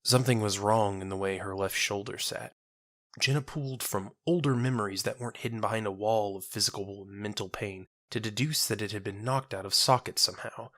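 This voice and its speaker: Audio drama narrator, medium pitch, solemn, measured, scripted storytelling, dry studio-like sound. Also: fairly bright tone, no echo.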